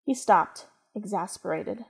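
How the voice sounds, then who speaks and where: Woman narrator, measured storytelling. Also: mid-range voice, vocal fry, husky.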